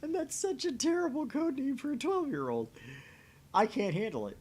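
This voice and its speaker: A man's voice: extremely high pitch, huge pitch swings, moderate pace, a little mellow. A man co-hosting a visual novel read-along, voicing dialogue theatrically and slipping into jokey, self-deprecating banter. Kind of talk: animated reading of game dialogue with casual banter.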